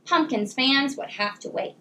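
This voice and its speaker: A woman with a high-pitched voice reads a story aloud for children. Her delivery is expressive and deliberately phrased, in the style of a classroom teacher. A faint noise sits behind her voice.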